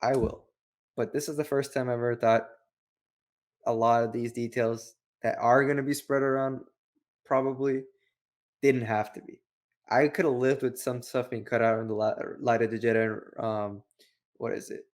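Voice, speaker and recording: A man's voice: mid-range voice, little pitch movement, moderate pace. A man co-hosting a review show, talking casually and giving opinions in a rambling way full of rhetorical questions. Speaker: co-host of a book review show.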